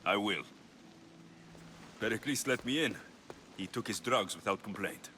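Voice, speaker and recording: An actor playing a protective, earnest Greek mercenary hero in a video game, speaking directly and with urgency. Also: male voice, fairly low pitch, unhurried, pushed and bright, slight background noise. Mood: calm. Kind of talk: dramatic video game acting. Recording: music under the voice.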